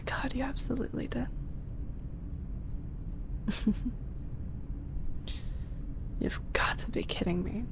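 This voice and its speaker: A young woman voicing a shy roommate who secretly runs an ASMR channel, speaking softly in half-whispers with frequent small laughs and halting pauses. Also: on the high side, gravelly. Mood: tender amused. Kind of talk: ASMR audio roleplay.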